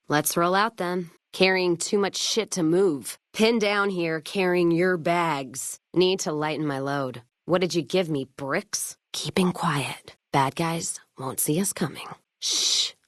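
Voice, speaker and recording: A woman's voice: low-pitched, no room sound, studio quality. An actress playing a tough, hard-drinking caravan woman in a western wasteland video game, with a blunt, wry, folksy way of talking. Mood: hushed.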